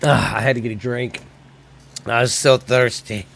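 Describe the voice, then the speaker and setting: A man's voice: mid-range voice, steady tone, normal speed, vocal fry, a bit bright. Stuffy-voiced American man, blunt casual podcaster. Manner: loose, blunt, crude joking delivery. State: slightly weak, stuffy voice from recent illness. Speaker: solo podcast host. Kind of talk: casual, profane comedy chat.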